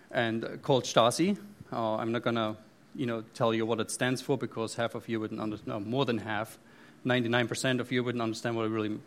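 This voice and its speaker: A middle-aged man from East Germany with a German accent, speaking from the front of a church with many fillers, restarts and joking asides. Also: mid-range voice. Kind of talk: sermon.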